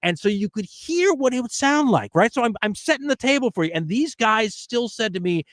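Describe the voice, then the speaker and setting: A middle-aged man hosting a podcast, telling stories in an animated, emphatic way during casual conversation. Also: very high-pitched, brisk, no echo. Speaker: podcast host.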